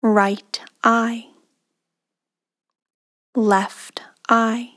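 A woman leading a guided meditation in a hushed, breathy, soothing delivery with long pauses between phrases. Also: fairly high pitch, flat delivery. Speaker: meditation guide, podcast host.

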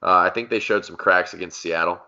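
Man, sports podcast host, lowish forceful voice, casual chat, dull lo-fi audio. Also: fairly even intonation, brisk. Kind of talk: casual sports talk with friends.

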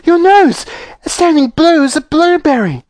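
Casting: horrified middle-aged mother, shrill, high-pitched screamer, frantic and demanding. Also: very animated, moderate pace, a little mellow, dry recording. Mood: frantic horror.

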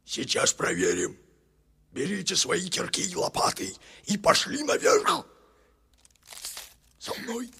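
An actor playing a grumpy, suspicious old dwarf in a cartoon, grumbling bossily in a creaky voice. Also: male voice, high voice, huge pitch swings, slow, long pauses, sharp tone, a little hiss or hum, full character voice. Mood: suspicious, commanding. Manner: grumbling, gloomy, bossy. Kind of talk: cartoon voice acting.

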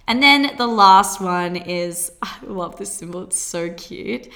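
Young australian woman, bright tone, bubbly, chatty podcast host. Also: fairly low pitch, lively intonation. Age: 20s. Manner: bubbly and chatty, quick laughs. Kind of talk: solo podcast monologue.

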